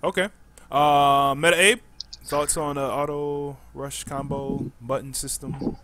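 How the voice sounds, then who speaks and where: A man's voice: medium pitch, level tone, unhurried, pushed and bright. A man hosting a gaming podcast, casually putting questions to his guests. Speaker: podcast host.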